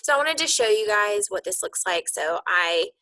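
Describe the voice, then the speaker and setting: A woman's voice: mid-range voice, animated, normal speed, pushed and bright, no room sound. A woman giving an informal, conversational instructional walkthrough, explaining things as a teacher would to colleagues. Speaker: teacher coach explaining lesson plans to colleagues.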